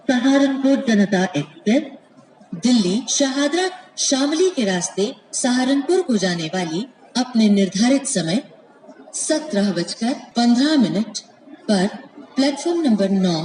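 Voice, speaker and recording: A woman reads formal train announcements slowly, in separately recorded phrases with swinging intonation. She comes over a station public address system, with reverberant echo around the words. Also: a little high, animated. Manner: formal, measured, stitched-together phrasing. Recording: echoey station loudspeaker, background noise.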